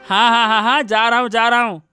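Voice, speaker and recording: A man's voice: very high voice, fairly even intonation, fairly quick, sharp tone, clean tone, soft background music, heavily acted. A man voicing a sarcastic, complaining village son in a cartoon story. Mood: annoyed. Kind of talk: animated moral story acting.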